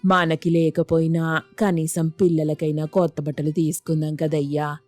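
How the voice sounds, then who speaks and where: Woman voicing a low-pitched, coaxing mother. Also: level tone, moderate pace, darkish tone, no echo, faint music behind, lightly acted. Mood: pleading. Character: tender, pleading village mother and wife. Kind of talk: scripted moral story dialogue.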